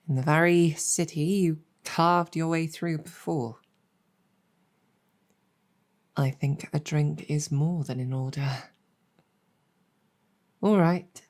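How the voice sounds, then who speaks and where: Woman as a low, unhurried, courteous demon general. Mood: wry. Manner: soft-spoken, hesitant, measured, wry. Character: female demon general, courteous, wry, gracious host. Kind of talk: improvised ASMR roleplay storytelling.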